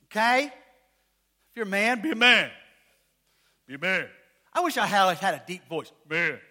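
Pastor, male, unusually high and bright voice, casual and joking, quizzes the congregation, bursts into shouts. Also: a little slow. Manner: animated, rising to shouts, asks the congregation questions. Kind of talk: sermon.

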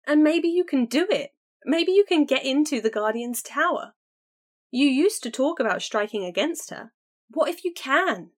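Woman reading storybook sister, eager, pleading, high lifted voice, urgent. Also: animated, normal speed, close to an everyday voice. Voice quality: bright, urgent and persuasive. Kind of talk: audiobook character voice.

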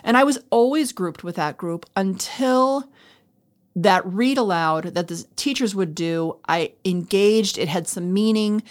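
A woman's voice: mid-range voice, a little slow. A middle-aged woman talking casually and reflectively, explaining things in an earnest, conversational way as a co-host. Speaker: podcast co-host, former elementary school teacher.

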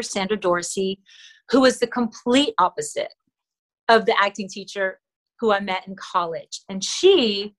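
A middle-aged woman from the Philippines whose first language is Tagalog, talking earnestly as an interview guest with fillers and soft laughs. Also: mid-range voice, poor audio. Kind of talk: casual interview talk.

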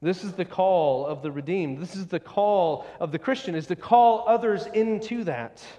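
High-voiced male preacher in an echoing hall. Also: warm tone. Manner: emphatic, deliberate, repeating short phrases. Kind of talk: expository sermon. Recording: long echoing hall reverb.

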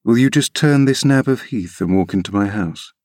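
A brooding, cold middle-aged man with a sardonic edge, as a man reading a storybook plays him. The voice sits low, and the sound is dry and close. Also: animated, normal speed, darkish tone, no room sound, lightly acted.

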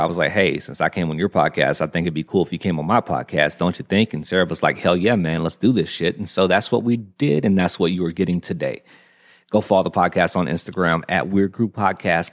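A laid-back man in his thirties from the hosts a casual podcast. His voice is very low comes through in his drawl and his "y'all." He jokes around as he talks. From Alabama, United States. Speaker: podcast host. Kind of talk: casual chat.

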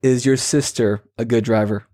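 A man's voice: mid-range voice, fairly even intonation, normal speed, warm tone. A man hosting a casual phone interview with a teasing, bantering way of talking. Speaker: host of a call-in segment.

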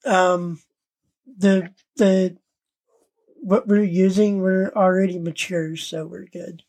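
Slow-talking woman in casual chat. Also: fairly low pitch, level tone, warm tone. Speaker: podcast co-host. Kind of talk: casual chat about an anime parody.

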